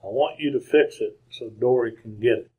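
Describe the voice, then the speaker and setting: Man playing a dying Western gunslinger, halting and earnest. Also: medium pitch, a little mellow, natural voice, poor audio. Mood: pleading. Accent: American Western. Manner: halting, folksy, repeats words. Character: dying wounded gunslinger, earnest, pleading with gruff dignity. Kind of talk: old-time radio Western acting.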